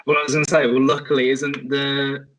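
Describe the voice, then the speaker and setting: A man's voice: medium pitch, little pitch movement, brisk, fairly bright tone, low-quality recording. A man talking casually as a guest in a podcast discussion.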